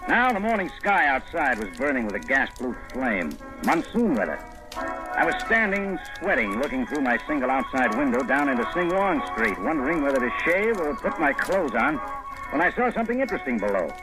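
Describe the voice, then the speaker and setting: An actor playing a wry, world-weary foreign correspondent, narrating and starring in an old-time radio drama with a breezy, hard-boiled manner. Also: male voice, mid-range voice, sing-song, fairly quick, over music, lightly acted, poor audio. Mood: wry, atmospheric. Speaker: narrator and lead.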